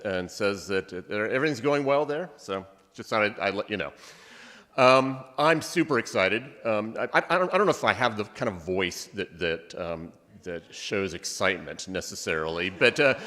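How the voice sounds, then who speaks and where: A man speaking as interim college president at a convocation, halting with many ums and restarts. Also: medium pitch, fairly bright tone. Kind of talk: convocation speech. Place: large hall. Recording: long hall reverb.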